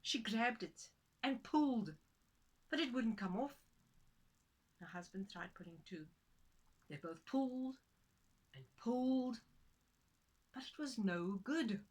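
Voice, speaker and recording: A woman's voice: fairly high pitch, expressive, takes it slowly, long pauses. A woman storyteller narrating a folktale for children, dramatic and playful in her delivery.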